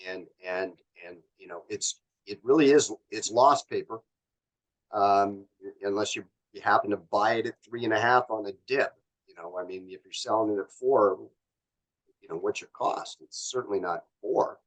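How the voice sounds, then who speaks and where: Low-voiced North American man, casually explaining. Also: moderate pace, poor audio. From born in Canada, grew up in Los Angeles. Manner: rambling, explanatory, colloquial. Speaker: company executive guest. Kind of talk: casual interview chat.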